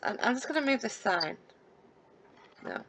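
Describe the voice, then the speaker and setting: A woman's voice: a little high, very animated, moderate pace, creaky, very noisy. A woman casually narrating her life-sim gameplay, rambling with many fillers and restarts, and poking fun at herself. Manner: self-deprecating, lightly laughing. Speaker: let's play gamer.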